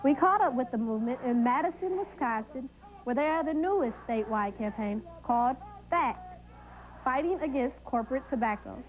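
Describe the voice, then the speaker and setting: A woman's voice: sits high, animated, moderate pace, rough recording. A woman reading scripted narration for a television feature. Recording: loud music under narration. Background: loud music.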